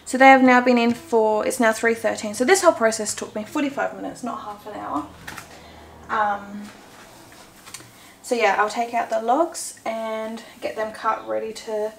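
Woman chatting thru a home bake, slightly high voice, a bit gravelly, echoey kitchen w faint dish clatter. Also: fairly even intonation, normal speed, a little hiss or hum. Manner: chatty, self-correcting, talks while working with hands. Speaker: home cooking vlogger. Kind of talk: casual baking walkthrough.

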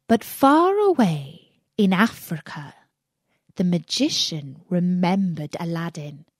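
Woman reading a fairy tale audiobook, really slow and careful, no room echo. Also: medium pitch, clean studio sound. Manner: deliberate, carefully phrased. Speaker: audiobook narrator. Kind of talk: scripted fairy-tale narration.

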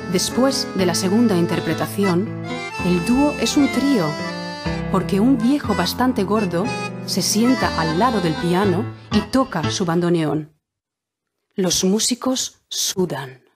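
A woman narrating a Spanish learners' audiobook in a clear, measured reading style. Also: mid-range voice.

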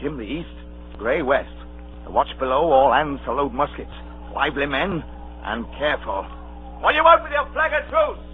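A male actor plays a stern sea captain in a vintage radio play. His fairly high voice is clipped, commanding and defiant. The sound is thin and tinny, with some hum. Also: lively intonation, normal speed, lightly acted. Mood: brisk, commanding. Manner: clipped, authoritative orders. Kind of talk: old radio drama acting.

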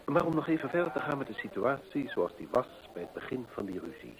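An actor playing a keen, methodical gentleman detective in an old radio play, deliberate and rhetorical as he reasons aloud. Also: mid-range voice, very animated, crowd noise, poor audio. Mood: analytical, intent.

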